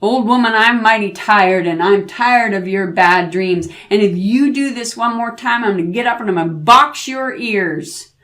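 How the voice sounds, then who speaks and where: A woman's voice: mid-range voice, moderate pace, lightly acted. A woman voicing a hot-tempered, blustering devil in an oral folk tale. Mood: weary, threatening. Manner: loud, blustering exclamations. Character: roaring, hot-tempered devil grandson, gloating and bossy.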